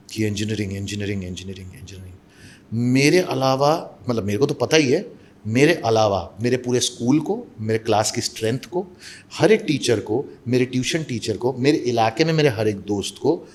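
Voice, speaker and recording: A man from Mumbai, an actor and voice-over artist, telling stories in a casual interview and mixing Hindi with English. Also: medium pitch. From Mumbai, India.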